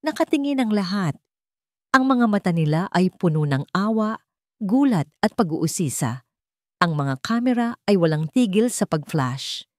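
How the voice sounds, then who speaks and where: A woman's voice: medium pitch, sing-song, a little slow, a little mellow, no echo, clean studio sound. A woman narrator reading a scripted romantic drama in a dramatic storytelling style.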